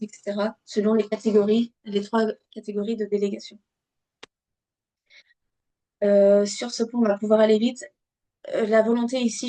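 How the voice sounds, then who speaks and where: A woman with a mellow, relaxed voice gives an instructional online presentation. Her intonation stays quite flat and even. She speaks straight to her listeners in a teaching tone, often pausing on fillers as she searches for the next phrase. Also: mid-range voice. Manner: hesitant, halting delivery with frequent filled pauses; didactic, addresses listeners directly. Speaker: webinar presenter on local government law. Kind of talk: explanatory webinar presentation from slides.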